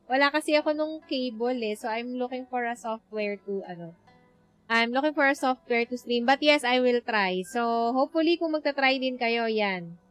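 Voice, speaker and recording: A woman's voice: a little high, moderate pace, fairly bright tone, low-quality recording. A woman with a Filipino accent chatting casually as she livestreams a game, switching between two languages. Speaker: game streamer. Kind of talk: casual livestream gameplay commentary. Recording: livestream with game music behind. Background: game music.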